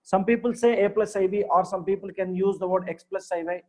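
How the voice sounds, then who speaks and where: A man's voice: sits high, steady tone, brisk, dark tone. A man, teaching maths in a live online class. He repeats himself, checks on his students often and praises their answers.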